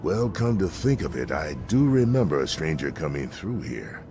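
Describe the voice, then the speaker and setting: Game role: folksy small-town lawman, extremely deep, drawling, plain-spoken, music underneath. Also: big pitch swings, normal speed, heavily acted. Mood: cautioning. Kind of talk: video game character dialogue. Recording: music behind the voice.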